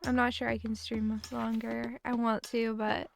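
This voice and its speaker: A woman's voice: a little high, level tone, unhurried, vocal fry. A woman streamer with a weak, tired voice, chatting casually and unhurriedly about a game she just finished. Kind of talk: casual gaming stream chat.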